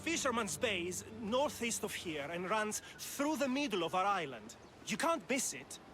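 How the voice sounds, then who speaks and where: A man's voice: sits high, very animated, moderate pace, a bit bright, faint music behind. A man playing a grumpy middle-aged fisherman father in a video game cutscene. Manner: grumpy, gruff, exasperated. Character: sour, grumbling fisherman father.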